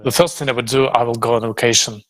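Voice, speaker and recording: A man's voice: mid-range voice, fairly even intonation, fast, fairly bright tone. A man interpreting live into English in a conversational style, with choppy phrasing and self-corrections. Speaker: interpreter voicing a forum guest in English.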